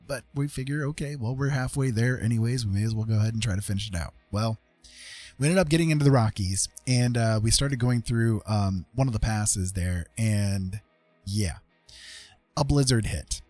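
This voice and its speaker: Animated American man telling a casual story. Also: mid-range voice, no echo. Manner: animated, repeats phrases for emphasis. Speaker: livestream host. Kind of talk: casual rambling personal storytelling.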